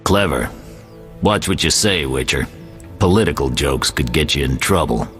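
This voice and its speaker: A gruff, commanding prison guard captain in a fantasy game. His voice is very deep and swings in a lively way as he hands out scornful orders. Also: normal speed, faint music behind. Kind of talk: video game voice acting.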